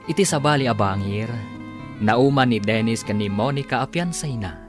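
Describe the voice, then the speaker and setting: A man's voice: medium pitch, animated, normal speed. A man narrating a radio drama serial in a theatrical, scripted announcer style. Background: guitar music.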